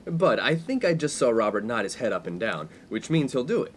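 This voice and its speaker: A man's voice: medium pitch, sing-song, a little fast, a little hiss or hum, natural voice. Man playing a brash, swooping college prankster. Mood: coaxing. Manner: pompous, wordy, playful. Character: brash, inappropriate young male college friend, mock-formal prankster. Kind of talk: 1950s-set comic radio play acting.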